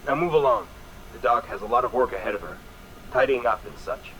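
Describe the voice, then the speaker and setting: A man's voice: mid-range voice, animated, a little fast, a bit bright, a little hiss or hum, lightly acted, rough recording. A man playing a haughty, menacing military commander in a video game, with a clipped, commanding and condescending delivery. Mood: menacing, stern. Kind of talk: video game villain dialogue acting.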